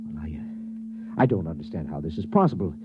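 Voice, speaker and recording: A man acting in an old radio drama as an earnest veteran scientist, a professor who speaks haltingly and with wonder. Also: extremely low pitch, very animated, dull audio. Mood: puzzled, hesitant. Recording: thin noisy old radio recording with hum.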